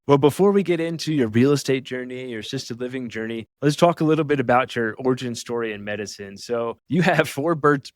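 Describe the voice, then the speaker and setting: A man's voice: medium pitch, no room sound, clean studio sound. A man hosting an interview podcast in a casual, upbeat and effusive way. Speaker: podcast host. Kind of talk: enthusiastic interview chat.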